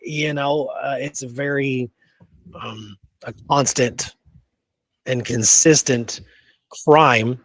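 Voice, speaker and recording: A man's voice: mid-range voice, fairly even intonation, takes it slowly, long pauses, dry recording. A man with a mid-range voice chats as co-host of a history show. His delivery is informal and conversational, often seeking agreement as he thinks through a point. The recording is dry, without room sound. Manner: checks in with "right?" often. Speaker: podcast co-host. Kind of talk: casual conversational history chat.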